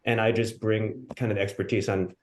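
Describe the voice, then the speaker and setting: A man from Hawaii speaking casually as a researcher on a video-call panel, relaxed and self-deprecating. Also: fairly low pitch, normal speed.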